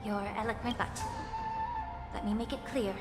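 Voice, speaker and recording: An actress playing a composed young woman warrior in a video game, speaking in a measured, formal and dramatic way. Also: mid-range voice, steady tone, close to an everyday voice. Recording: roomy echo, loud music behind.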